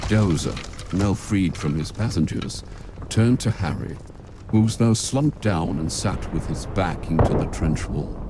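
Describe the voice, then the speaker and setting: A man's voice: sits low, animated, unhurried, noisy background. A man reading scripted narration for an audio drama as its storyteller.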